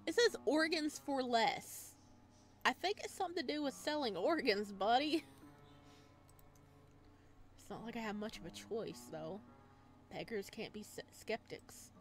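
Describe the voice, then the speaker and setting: Chatty woman narrating over background music. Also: fairly high pitch. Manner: chatty, reads aloud with asides. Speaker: gaming video host. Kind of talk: visual novel let's play, reading text aloud with casual commentary.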